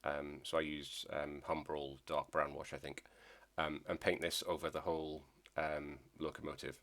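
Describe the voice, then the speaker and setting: A man with an extremely low voice talks casually about his hobby, pausing often with ums and restarting his sentences. He sounds nasal from a lingering cold. His delivery stays flat and even. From Scotland. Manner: hesitant, many ums and restarts. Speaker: model railway hobbyist. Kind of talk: casual hobby video narration.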